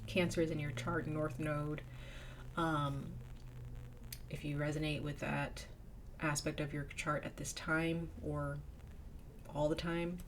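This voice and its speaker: Woman, low pitch, slightly nasal, getting over a cold, chatty tarot reader, audible background noise. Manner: chatty, drops to a whisper in invocations. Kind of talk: casual tarot reading.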